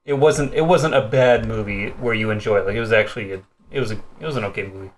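A man in his thirties co-hosting a casual review chat, speaking informally and haltingly with repeated words. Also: on the low side. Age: 30s. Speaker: co-host of a movie review show.

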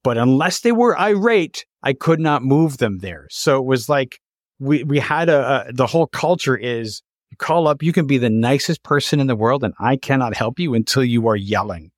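A man speaking as a podcast host in a casual interview, with halting, searching phrasing. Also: mid-range voice, expressive, no echo, clean studio sound.

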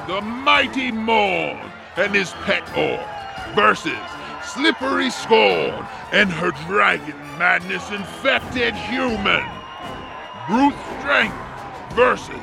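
A man playing a rowdy ogre announcer in an audio drama, shouting in a gravelly, drawn-out showman delivery. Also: sits high, heavily acted. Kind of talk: audio drama character acting. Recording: music and crowd behind the voice.

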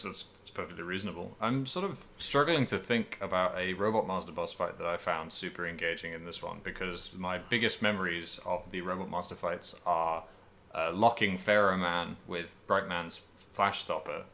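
A man's voice: sits low, sharp tone, slight background noise. A man co-hosting a podcast, talking casually about video games in a rambling way, as if thinking aloud. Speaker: podcast co-host. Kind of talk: casual video game discussion.

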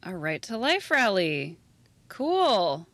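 A woman playing a sardonic, deadpan high school staffer in a naturalistic audio drama. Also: slow, no echo. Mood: dry, mocking.

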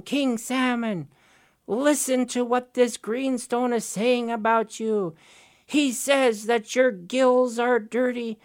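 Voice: medium pitch, clear, resonant tone, voice pushed up, lightly acted. Storyteller doing a wheedling trickster raven. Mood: coaxing. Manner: wheedling, hesitant, stammering. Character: scheming male raven trickster.

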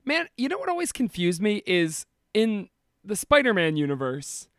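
A man co-hosting a casual podcast, swearing and joking, with his voice going hoarse. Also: sits high, huge pitch swings, normal speed, a bit bright, dry recording, studio quality. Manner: swears often, jokey, laughs a lot. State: losing his voice. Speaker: podcast co-host.

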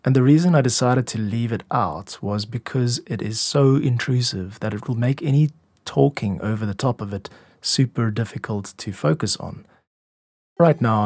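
A man's voice: fairly low pitch, vocal fry, darkish tone, slightly rough, dry recording. A man giving an informal, instructive tutorial as a music producer and podcast host. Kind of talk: explanatory tutorial narration.

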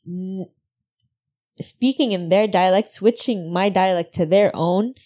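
A woman from Saudi Arabia talking in a casual conversation, explaining her views at length with soft, trailing asides. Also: mid-range voice, measured pace.

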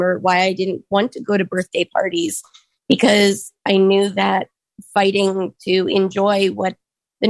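Woman, 30s, hoarse and gravelly, dry close sound, earnest personal talk with lots of ums. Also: medium pitch, no echo, rough recording. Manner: earnest, reflective, pauses with frequent ums. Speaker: speaker sharing her story.

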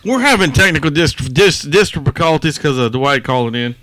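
A man's voice: on the high side, lively intonation, moderate pace, a bit bright. A man hosting a podcast, bantering casually with co-hosts and guests. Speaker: podcast host.

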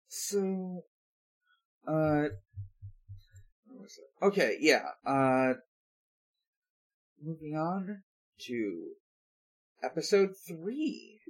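A man co-hosting a casual podcast recap. He talks at a slow, unhurried pace and often stops mid-thought, hesitating before he picks the thread back up. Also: on the high side, expressive, rough recording. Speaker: podcast host. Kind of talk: casual recap talk with co-hosts.

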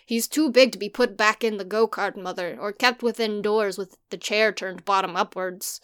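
A woman's voice: medium pitch, steady tone, fairly bright tone, clean tone, voice pushed up, lightly acted. A woman voicing a worried old farmer. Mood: earnest. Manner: slow, earnest, broad dialect.

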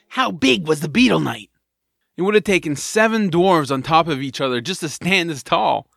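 Man playing an excitable, high-pitched boy hero. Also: creaky, no room sound, studio quality, exaggerated voice. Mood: earnest. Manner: animated, earnest, playful. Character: excitable, kind-hearted young boy hero. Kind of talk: family audio drama acting.

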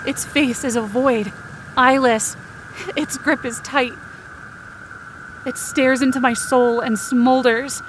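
A woman with a distinctly high voice narrates a fiction podcast in the first person. Her delivery is intense and theatrical, in short sentences, with a clear watery rumble behind her. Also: steady tone, slow, pauses often. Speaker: writer and performer of fiction podcast.

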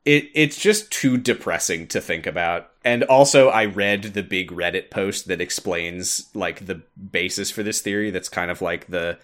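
A man with a fairly low voice talks casually as a podcast host. He sounds like an invested fan, leaning on his words for emphasis in an informal, conversational way. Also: normal speed, hardly any pauses, a bit bright. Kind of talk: casual podcast chat about video game lore.

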